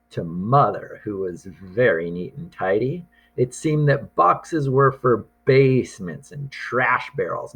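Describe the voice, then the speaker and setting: A man reading a picture book aloud to young children, deliberate and expressive, drawing out words and pausing for drama. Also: fairly low pitch, breathy edge, low-quality recording.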